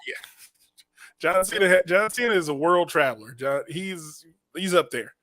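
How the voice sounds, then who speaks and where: A man hosting a comedy podcast, rambling through loud casual banter with restarts. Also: sits high, expressive, moderate pace. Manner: rambling, restarts, bursts into shouting voices. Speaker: podcast host.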